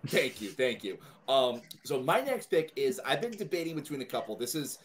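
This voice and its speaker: A man's voice: mid-range voice, very animated, moderate pace, slight background noise. A man talking casually as a guest panelist in a group discussion.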